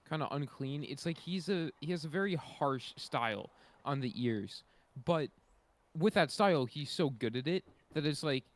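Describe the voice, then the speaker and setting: A man with a mid-pitched voice chats casually as a podcast panelist. He offers his opinions in an informal, conversational way.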